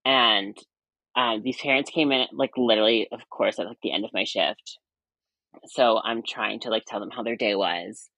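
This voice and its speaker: A young man in his twenties with a high voice, a nurse chatting casually as a podcast guest, rambling with many fillers and restarts. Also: moderate pace, bright, forceful tone, no echo, poor audio. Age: 20s. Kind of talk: casual chat.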